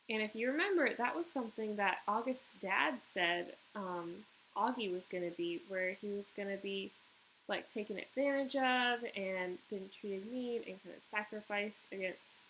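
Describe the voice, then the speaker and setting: A woman's voice: mid-range voice, fairly bright tone, a little hiss or hum, poor audio. A woman teacher reading a children's book aloud and explaining it to her pupils.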